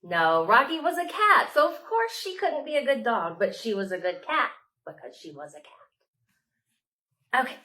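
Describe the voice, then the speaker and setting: A woman leading a storytime for young children, reading aloud expressively with dramatic pauses, like a children's librarian. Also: fairly high pitch, sharp tone.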